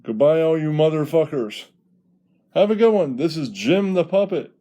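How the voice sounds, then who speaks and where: A man's voice: on the high side, lively intonation, normal speed, mellow, close to an everyday voice. A man voicing a laid-back, crude male stoner puppet, drawling mock-preachy lines in a comedy puppet show.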